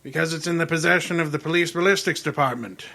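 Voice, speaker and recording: An imperious private detective boss acted by a man in a radio mystery. His voice is fairly high for a man, bright, with a gravelly creak. He speaks formally and dryly, probing shrewdly. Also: quick pace. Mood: probing, cool. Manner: formal, dry, probing questions. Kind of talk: old time radio mystery acting.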